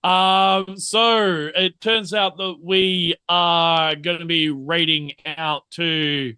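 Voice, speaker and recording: Male game master, casual and rambling, self-deprecating humour, crisp bright voice, close dry sound. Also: on the high side, fairly even intonation, extremely slow, no echo. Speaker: game master and stream host. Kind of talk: casual stream sign-off chat.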